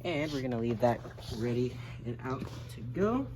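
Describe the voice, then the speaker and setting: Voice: medium pitch, big pitch swings, normal speed. A person giving a casual, step-by-step, hands-on tutorial as an instructor. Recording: noisy amateur video recording, background noise.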